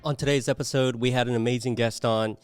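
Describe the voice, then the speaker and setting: A man hosting a podcast, talking in a relaxed, rambling way with many fillers and restarts. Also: medium pitch, quick pace, soft background music. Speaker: podcast host. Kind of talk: casual podcast interview.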